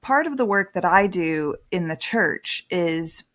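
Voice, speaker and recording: Thoughtful woman guest, lowish voice. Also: lively intonation, measured pace. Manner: thoughtful, candid, swings from soft to emphatic. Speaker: priest and author, guest. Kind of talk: reflective conversational interview.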